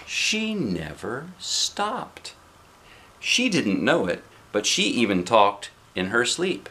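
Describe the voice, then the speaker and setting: A man reading a picture book aloud as a dad would, playful and expressive, with casual asides to a child. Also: mid-range voice, takes it slowly, slight background noise.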